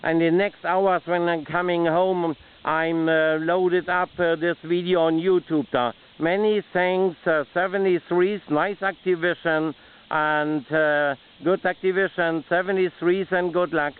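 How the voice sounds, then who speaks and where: High, clear-voiced German man on radio. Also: fairly even intonation, a little slow, narrow, tinny audio. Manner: repetitive, courteous radio procedure talk. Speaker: amateur CB radio operator. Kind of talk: radio contact exchange.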